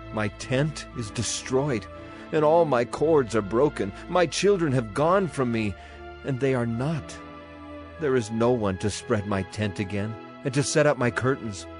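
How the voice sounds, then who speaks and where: Solemn male Bible narrator, measured delivery, light music underneath. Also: medium pitch. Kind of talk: dramatized scripture reading.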